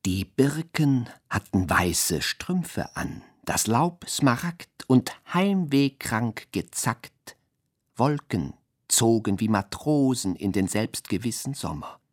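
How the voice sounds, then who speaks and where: An actor reciting surreal, melancholy poetry for a radio drama in a deliberate, fragmented delivery. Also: male voice, mid-range voice, big pitch swings, no echo, studio quality. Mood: pensive.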